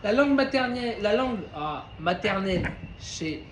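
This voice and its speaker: A man with a notably high voice leads an informal Torah class, speaking conversationally and turning to his listeners with frequent questions. The recording has a roomy echo from the study hall and a faint bed of ambient noise behind him. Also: lively intonation, normal speed, fairly bright tone. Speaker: Torah teacher.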